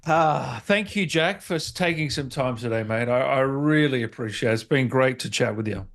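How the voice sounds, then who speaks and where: A man's voice: medium pitch, normal speed, a bit bright. A man hosting a casual, friendly gaming interview, with laid-back, affirming replies.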